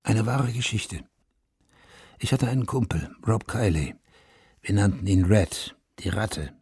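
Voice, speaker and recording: An actor playing a haunted, self-accusing Vietnam War veteran who narrates a radio drama in a sober, confessional, terse way. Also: male voice, on the low side, animated, unhurried, creaky, a little mellow, husky, no room sound, heavily acted. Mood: grim, pointed. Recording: studio radio play with music underneath.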